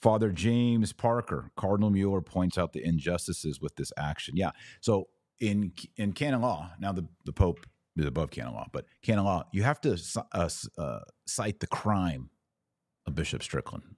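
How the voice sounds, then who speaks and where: Very deep-voiced American man hosting live. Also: expressive, moderate pace, darkish tone, no room sound, studio quality. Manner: emphatic, repeats phrases for stress; opinionated, blunt. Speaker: podcast host answering live audience comments. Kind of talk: live commentary, reading and answering comments.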